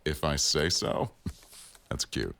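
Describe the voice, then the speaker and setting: Guy doing a super deep bossy office manager, dry teasing, kinda formal, boyfriend audio roleplay. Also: sing-song, slow pace, gravelly, a bit bright, a little hiss or hum, full character voice. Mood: wry, teasing. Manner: wry, formal, dryly teasing. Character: stern, teasing male office boss, protective of employee. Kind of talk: boyfriend ASMR roleplay acting.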